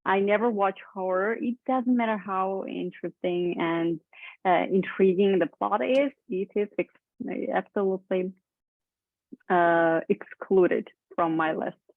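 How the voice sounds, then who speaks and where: A woman's voice: medium pitch, dry recording, narrow, tinny audio. Chatty woman on a thin online call. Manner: chatty, quick to laugh, draws others in. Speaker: conversation club leader. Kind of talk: casual group chat in English.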